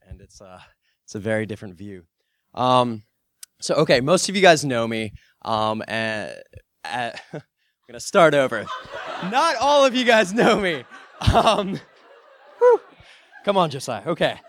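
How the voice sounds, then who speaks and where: A young man gives his student testimony, nervous at first and joking at his own restarts. His voice sits in an ordinary middle pitch. The recording is dry, with little room sound. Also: big pitch swings, normal speed, pauses often, fairly bright tone, no echo. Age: young adult. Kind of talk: personal testimony on stage.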